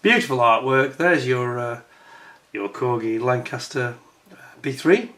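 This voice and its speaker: Croaky older man chatting to camera. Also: medium pitch, a little slow, bright, forceful tone. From United Kingdom. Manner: rambling, chatty, with many fillers and restarts. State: croaky voice with a chesty cough. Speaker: YouTube host. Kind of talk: casual chat to camera.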